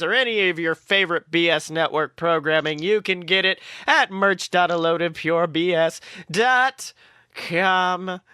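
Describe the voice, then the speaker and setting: A man co-hosting a podcast, chatting casually and excitably as a fan, swearing freely. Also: high-pitched, clear, resonant tone, dry recording, studio quality. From southern United States. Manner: informal, profane, excitable fan talk. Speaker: podcast co-host.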